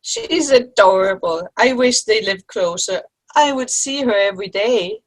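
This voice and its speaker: A woman's voice: medium pitch, expressive, measured pace, low-quality recording. A woman playing a cheerful, teasing grandmother among old friends in an amateur comedy play reading. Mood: fond. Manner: good-humored, teasing. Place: online video-call play reading.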